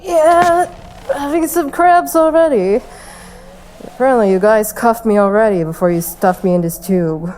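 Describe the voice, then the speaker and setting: A woman's voice: fairly high pitch, measured pace, a little mellow, slight background noise, close to an everyday voice, poor audio. A woman acting a cocky, sarcastic captive in an audio drama, teasing and narrating in a wry, slangy way. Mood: wry, exasperated.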